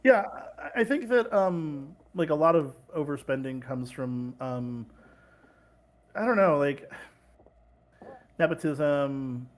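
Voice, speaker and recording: A man's voice: on the high side, lively intonation, takes it slowly, pauses often. A man from California talking casually in an interview as a game publisher, rambling with many fillers and restarts over a video call.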